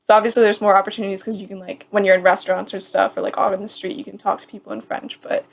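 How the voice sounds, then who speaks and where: A woman in her twenties chats casually as a podcast co-host. She talks in a rambling, easygoing way and keeps dropping "like" into her sentences. Her voice sits at a middle pitch. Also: level tone, very fast, vocal fry. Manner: chatty, rambling, peppered with "like".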